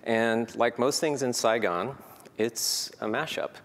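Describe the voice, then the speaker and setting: A man's voice: mid-range voice, takes it slowly. A man giving a prepared conference talk, earnest and peppered with "um" fillers. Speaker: conference speaker. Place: conference hall. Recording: reverberant hall, faint noise.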